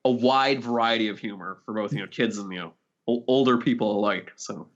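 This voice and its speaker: A man's voice: fairly low pitch, fairly quick, fairly bright tone. A man co-hosting a podcast in casual chat, recorded with a slightly dull, lo-fi sound. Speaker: podcast co-host.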